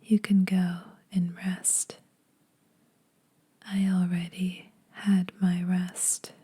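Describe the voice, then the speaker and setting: A woman voicing a teasing, predatory yet protective female vampire in a breathy whispered ASMR roleplay, speaking slowly and a little formally. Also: fairly low pitch, level tone, mellow, full character voice. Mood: dry teasing. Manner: slow, deliberate, faintly formal teasing.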